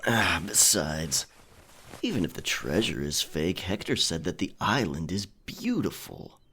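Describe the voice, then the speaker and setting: A man's voice: low-pitched, very animated, measured pace, bright, forceful tone, husky, exaggerated voice. A man playing a roguish, affectionate pirate captain in an intimate, teasing romantic ASMR audio roleplay. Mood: tender, flirtatious.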